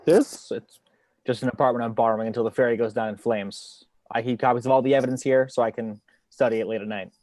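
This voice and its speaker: A man's voice: medium pitch, fairly even intonation, quick pace, a little mellow, dry recording, close to an everyday voice. A man playing a weary, sardonic FBI investigator in a screenplay table read. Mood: sarcastic. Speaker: amateur actor in an online table read. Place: remote video call.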